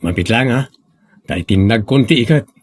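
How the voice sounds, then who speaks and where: Man acting husky-voiced, animated young fisherman. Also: on the low side, moderate pace, no echo. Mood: cheerful. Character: hearty young fisherman boyfriend. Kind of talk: sentimental audio drama acting.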